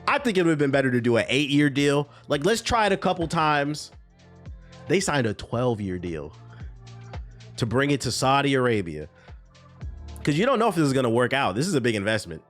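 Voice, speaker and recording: A man's voice: medium pitch, a bit bright. A man with a stuffy, congested voice, reading news aloud and chatting casually on a live stream. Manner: casual, reads news aloud then riffs on chat. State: congested from a cold.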